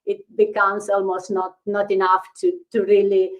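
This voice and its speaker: A woman's voice: medium pitch, monotone, normal speed, lo-fi sound. A middle-aged woman from Romania speaking casually as an interview guest, telling her own story.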